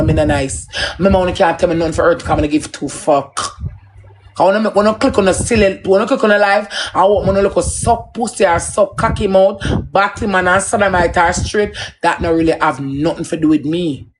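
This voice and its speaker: A man's voice: high voice, background noise. A man with a Jamaican accent in a combative, profane, emphatic unscripted rant on a livestream. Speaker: social media live streamer.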